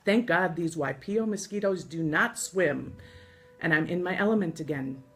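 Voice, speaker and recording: An American woman reading her own scripted travel memoir aloud in an expressive storytelling manner, with deliberate pauses. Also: lowish voice. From United States. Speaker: author reading from own book.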